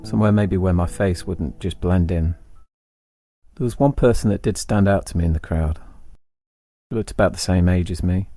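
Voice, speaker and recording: A middle-aged English man tells an autobiographical story in a reflective way, phrasing it deliberately in short lines. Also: deep voice, warm tone, no echo, clean studio sound. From England. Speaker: podcast host and narrator. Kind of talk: autobiographical storytelling, scripted narration. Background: instrumental music.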